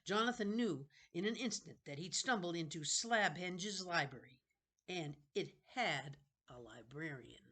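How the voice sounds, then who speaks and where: A woman with a low voice reads a children's novel aloud. Her narration is unhurried. She now and then goes back over a fumbled phrase. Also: expressive, fairly bright tone. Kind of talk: storybook narration read aloud.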